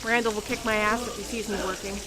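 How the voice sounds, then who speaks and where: An actress playing a jaded, sardonic fast-food worker in her early twenties, dry and wry, in an audio drama. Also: medium pitch, little pitch movement, natural voice, rough recording. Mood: casual, secretive. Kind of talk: first-person audio drama narration and dialogue.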